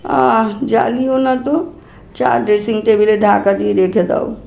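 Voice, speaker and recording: A woman's voice: fairly high pitch, flat delivery, measured pace, creaky, darkish tone, echoey room. Woman playing a lazy, peevish, bossy wife. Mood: sleepy irritation.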